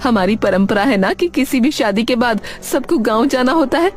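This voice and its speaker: Woman acting a bossy, animated mother-in-law. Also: fairly high pitch, normal speed, lightly acted. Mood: brisk, bossy. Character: bossy mother-in-law of a family, scolding matriarch.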